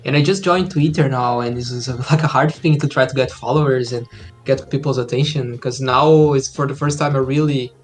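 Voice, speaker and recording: A man's voice: mid-range voice, fairly even intonation, brisk. Brazil-born man, thirties, on a laptop call with faint music behind, easygoing and hesitant. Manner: hesitant, many fillers, easygoing. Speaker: indie game developer guest. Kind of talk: casual interview chat. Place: home room, indoors. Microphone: laptop video call.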